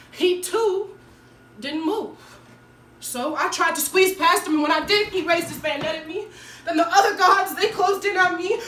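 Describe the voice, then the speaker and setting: A woman's voice: high-pitched, a bit bright. A woman playing an earnest, nervous teenage schoolgirl who tells her story in an emotive dramatic monologue. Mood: frightened. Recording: echoey room, distant mic, faint noise.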